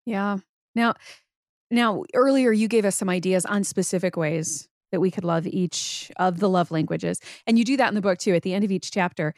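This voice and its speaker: A woman's voice: medium pitch, normal speed, a little mellow, dry recording, clean studio sound. Woman host asking thoughtful questions. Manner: conversational, thoughtful follow-up questions. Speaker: podcast host. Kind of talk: interview questions.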